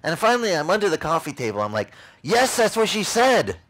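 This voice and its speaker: Animated middle-aged pastor. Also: male voice, high voice, a little fast. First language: Italian. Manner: animated, acts out other people's lines. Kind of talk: storytelling sermon. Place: outdoors on a beach.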